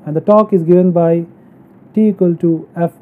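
A narrow, phone-like recording carries a man's lecture. He teaches engineering in an explanatory way, moving step by step. His delivery is halting as he works through each point. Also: high-pitched, fairly even intonation. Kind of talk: explanatory technical lecture over slides.

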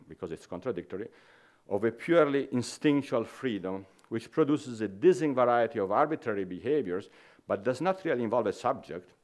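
A middle-aged man with an Italian accent gives an academic conference lecture at a podium, reading dense prose in a measured way with small restarts. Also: medium pitch, a little mellow. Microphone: podium microphone.

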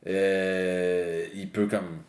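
A man with a somewhat low voice who talks in halting bursts, stacking up fillers and repeating words as he searches for the point. The room rings with echo, and faint noise runs underneath. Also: little pitch movement. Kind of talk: casual chat. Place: small indoor room at a table. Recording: echoey room.

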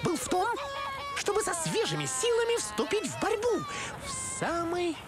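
A woman voicing an excitable, earnest young cartoon animal, eager and emphatic, with loud music over the voice. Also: very high voice, normal speed.

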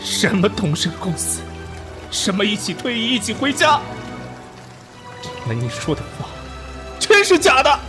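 A man's voice: high-pitched, wildly swooping pitch, unhurried, long pauses, over music, full character voice. A man playing a passionate, betrayed young army officer in an emotional radio drama. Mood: heartbroken, bitter. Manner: impassioned, breaking into bitter laughter and hushed pleading.

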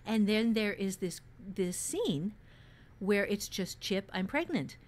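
A woman's voice: mid-range voice, lively intonation, slow pace. A woman co-hosting a writing podcast, teaching conversationally and emphatically, acting out questions as she explains. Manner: emphatic, dramatizes imagined questions.

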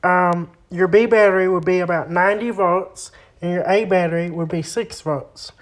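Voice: medium pitch, steady tone, moderate pace. A hobbyist host giving a hands-on repair demonstration, explaining each step in a plain way. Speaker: vintage radio repair hobbyist and video host.

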